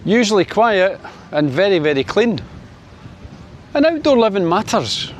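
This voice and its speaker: A man's voice: big pitch swings, measured pace, slight background noise. A Scottish man in his sixties from Scotland, chatting to camera on his vlog in a wry, filler-heavy way. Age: 60s. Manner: chatty, wry, lots of fillers, lifts his pitch for sunny Spain. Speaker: vlogger. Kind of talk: casual opinion vlog to camera.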